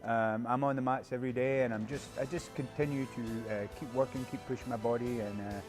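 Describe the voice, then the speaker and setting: A man in his late forties talking candidly about fitness in an interview, relaxed and full of fillers. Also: medium pitch, brisk, over music. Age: 40s. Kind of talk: interview sound bites.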